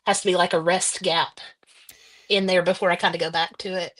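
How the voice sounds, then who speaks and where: A woman's voice: on the low side, level tone, a little fast, fairly bright tone. A woman guest author answering interview questions in a casual, hesitant way. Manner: hesitant, many ums.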